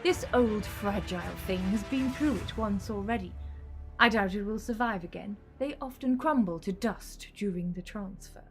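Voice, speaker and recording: A woman's voice: mid-range voice, normal speed, natural voice. Woman acting an imperious, scheming villainess, music behind. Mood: scheming, measured. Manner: imperious, commanding. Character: scheming, desperate female villain, rival time traveller in failing old body. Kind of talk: audio drama acting.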